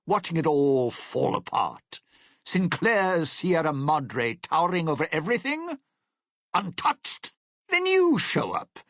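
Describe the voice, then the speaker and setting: Game role: bitter, theatrical old crooner, husky and gravelly, unhurried sardonic delivery, dry close recording. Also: male voice, medium pitch, a bit bright, dry recording, heavily acted. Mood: bitter, vengeful. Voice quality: gravelly, raspy. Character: scheming, theatrical, bitter old lounge singer. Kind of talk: video game character acting.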